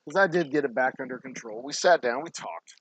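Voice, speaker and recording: A middle-aged man with a sore, hoarse throat, talking bluntly and swearing freely while he bottles whiskey at his distillery. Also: mid-range voice, brisk, a bit bright, no room sound, low-quality recording. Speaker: distillery owner. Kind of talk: casual vlog monologue while working.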